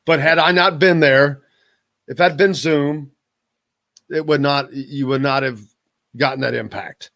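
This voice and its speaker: A man hosting a sports radio show, chatting casually, joking and rambling in an animated way. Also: fairly high pitch, unhurried, pauses often.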